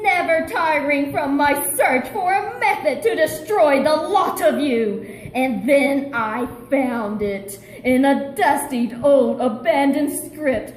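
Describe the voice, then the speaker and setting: A woman's voice: high-pitched, slight background noise. A woman voicing a vengeful, grandiose male pet hamster villain in a theatrical gloating monologue. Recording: roomy echo.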